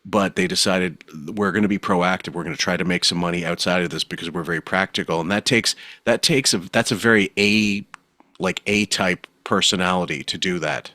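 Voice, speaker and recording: Deep-voiced man hosting a podcast, dry sound. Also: fairly quick, sharp tone, dry recording. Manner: rambling, with frequent fillers and laughs. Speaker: podcast host. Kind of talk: casual podcast commentary.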